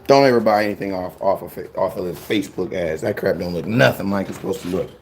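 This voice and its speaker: A young man hosting a casual fan show, talking in slang and repeating himself when excited. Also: sits low, quick pace, slight background noise. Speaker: sports fan show host. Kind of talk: casual live-stream chat.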